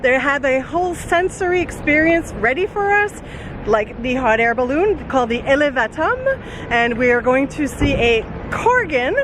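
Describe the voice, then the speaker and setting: A woman vlogger chatting to camera in an upbeat, excited, casual way. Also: high voice, noisy background. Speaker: vlogger, channel host. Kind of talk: casual event vlog to camera. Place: outdoors at a busy festival. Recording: loud crowd and music behind.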